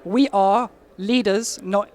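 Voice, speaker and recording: High-voiced man, eager pitch. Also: fairly even intonation, takes it slowly. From Albania, raised in London. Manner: eager, insistent, emphatic claims. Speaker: marketing lead, interviewee. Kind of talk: casual interview pitch.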